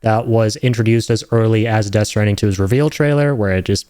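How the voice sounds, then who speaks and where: Excitable young man reacting to a trailer. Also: fairly low pitch, fairly quick, smooth, no echo, clean studio sound. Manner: enthusiastic, rambling, many fillers and "right?". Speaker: host reacting to game trailers. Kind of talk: casual reaction commentary.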